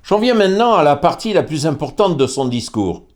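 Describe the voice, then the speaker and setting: Male host reading his own commentary: measured, pointed, with pauses and hesitations. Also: on the high side, very animated, a little mellow. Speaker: host presenting a commentary series. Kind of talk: scripted commentary read aloud.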